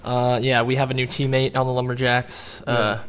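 A man's voice: medium pitch, completely flat delivery, normal speed, a bit bright, slight background noise. A young man co-hosting a casual podcast, speaking in a dry, understated and slightly hesitant way. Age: young adult. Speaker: podcast co-host. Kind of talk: casual chat. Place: small office conference room. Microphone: headset with desk mic.

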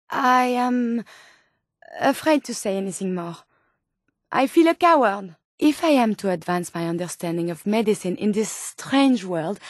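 A woman's voice: medium pitch, no room sound, studio quality. An actress voicing a polite, curious female robot scientist from a video game, with a French accent and formal, precise, earnest phrasing. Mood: earnest, musing. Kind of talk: video game companion dialogue.

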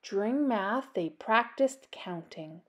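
A woman, reading a children's storybook aloud in an expressive, deliberate way. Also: mid-range voice, muffled recording. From Canada. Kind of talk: animated storybook read-aloud.

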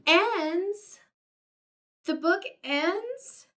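A nervous, gentle storybook elephant, as a woman puts him on in a high, fretful voice that jumps up in alarm. The pitch leaps around a lot and the delivery stays bright. It sounds a little distant from the mic. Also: lively intonation, extremely slow, full character voice. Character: anxious gentle male elephant, Gerald.

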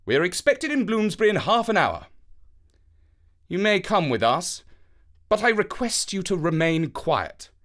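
A man voicing a proud, precise gentleman detective with a formal, emphatic manner in a children's story. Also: high-pitched, very animated, a little slow, long pauses, voice pushed up, full character voice. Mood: assured, brisk.

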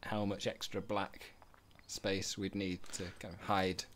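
A man's voice: low voice, little pitch movement, unhurried, a bit bright. A man giving casual, jokey behind-the-scenes commentary as co-creator of a show.

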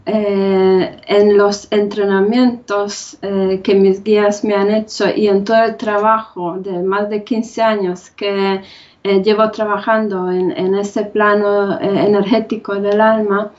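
A middle-aged woman giving a conference talk over video call from a room at home, speaking haltingly with many filler sounds. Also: mid-range voice, darkish tone. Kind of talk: online talk on spirituality. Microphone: video call webcam. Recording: dull lo-fi webcam audio.

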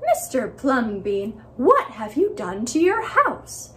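Woman acting out scandalized, high-pitched storybook neighbor. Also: huge pitch swings, slow, slight background noise, full character voice. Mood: shocked. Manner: exclaiming, scolding. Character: disapproving, scandalized fussy neighbors in a picture book.

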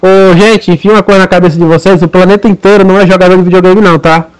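A man's voice: high-pitched, fairly even intonation, racing, smooth, rough recording. A Brazilian man co-hosting a gaming podcast, talking emphatically and lecturing listeners in casual chat. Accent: Brazilian Portuguese. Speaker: podcast co-host.

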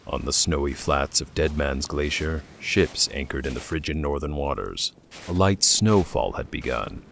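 Very deep, gravelly male narrator. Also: normal speed, a little hiss or hum. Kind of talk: scripted dramatic storytelling narration.

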